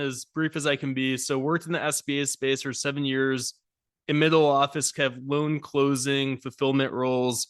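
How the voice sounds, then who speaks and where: Guy on a podcast, runs through his career quick and matter of fact, medium pitch, crisp voice. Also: fairly bright tone, no echo. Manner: brisk, businesslike, runs through lists. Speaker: podcast guest, lending advisor. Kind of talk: casual interview chat.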